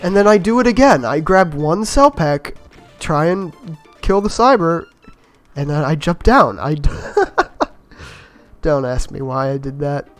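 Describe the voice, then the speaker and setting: A man with a slightly raspy voice from being a bit sick, giving easygoing, rambling voice-over commentary on his own game run and laughing at his own mistakes. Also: a little high, animated, a little slow, faint music behind. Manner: easygoing, rambling, self-mocking with chuckles. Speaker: gameplay commentator.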